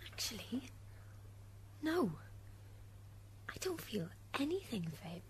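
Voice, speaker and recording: A woman's voice: sits high, lively intonation, measured pace, noisy background. A woman playing an indignant, defensive wife and mother on trial in a radio drama. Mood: aggrieved, hushed. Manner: aggrieved, defensive.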